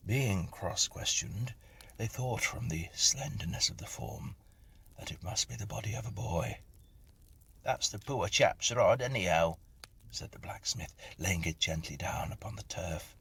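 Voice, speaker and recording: A man with a gravelly voice reads a Victorian ghost story as a measured, solemn narrator. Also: deep voice, fairly bright tone, slight background noise. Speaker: reader and narrator of ghost stories.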